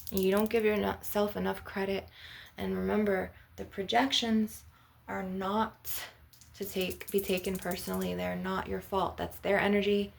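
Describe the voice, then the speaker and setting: A woman's voice: medium pitch, little pitch movement, a little slow, vocal fry. A woman hosting an ASMR spiritual healing session, speaking to the viewer in an earnest, affirming, instructive way. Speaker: spiritual healer and channel host.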